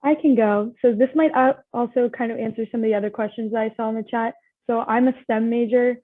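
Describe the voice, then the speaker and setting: A woman's voice: a little high, steady tone, fairly quick, no room sound. A young woman, a student panelist, rambling casually with constant "like"s. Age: young adult. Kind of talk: casual panel chat on a video call. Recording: tinny phone-like line.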